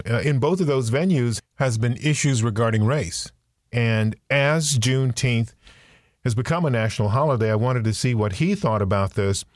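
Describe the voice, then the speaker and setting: A man hosting an interview podcast, speaking in a measured, deliberate way. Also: mid-range voice, dry recording, studio quality. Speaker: podcast host.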